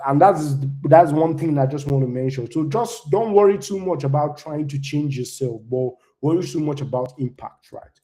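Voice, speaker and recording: A man's voice: on the high side, warm tone. A young man from Nigeria, whose first language is not English, gives a loose, conversational live demo talk and keeps tagging his points with "right?". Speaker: conference speaker.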